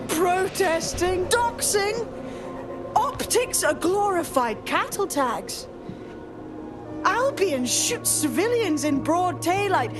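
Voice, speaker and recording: High, taunting villainess. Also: female voice, full character voice. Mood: scornful. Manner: taunting, deliberate. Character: mocking, bitter female hacker villain, smug and theatrical.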